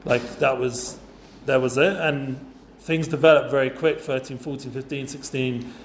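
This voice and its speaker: A man's voice: mid-range voice, fairly even intonation, normal speed, slight background noise. Chatty man in an echoey room. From North London, England. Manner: chatty, informal, lots of fillers and asides. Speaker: guest speaker for students. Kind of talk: casual talk to a youth group.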